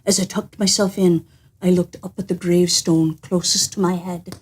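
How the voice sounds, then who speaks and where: A woman telling stories in a theatrical, suspenseful and deliberate manner, as a host would. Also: on the low side, level tone, slightly rough. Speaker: storyteller and host. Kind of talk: animated scripted storytelling.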